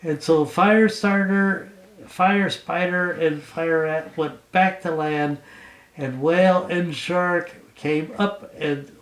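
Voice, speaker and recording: A man improvising a bedtime story as a father would for his child, in simple, playful, halting narration. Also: fairly high pitch, fairly even intonation. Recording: roomy home recording.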